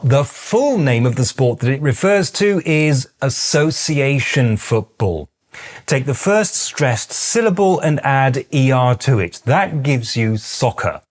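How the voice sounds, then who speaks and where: A middle-aged British man with a British accent, hosting a scripted explainer with precise, dryly wry delivery. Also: mid-range voice. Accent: British English. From Britain. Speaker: YouTube host.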